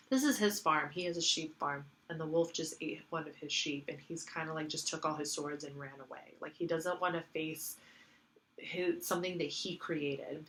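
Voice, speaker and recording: A woman's voice: low voice, a bit bright, low-quality recording. A woman giving a casual tarot reading, congested from allergies, rambling with lots of fillers and repeating herself. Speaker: tarot reader.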